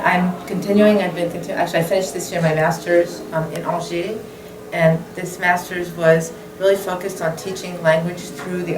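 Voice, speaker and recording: A woman, a French teacher, talking thoughtfully to parents with hesitant restarts. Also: lowish voice. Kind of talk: addressing parents at a school open evening. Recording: echoey classroom from far off, background noise.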